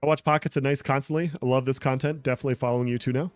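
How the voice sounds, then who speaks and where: A man's voice: mid-range voice, fairly even intonation, very fast, no room sound, faint music behind. Chatty man streaming a game. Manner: chatty, reads and answers viewer comments. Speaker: livestreamer. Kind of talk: casual gameplay stream chat.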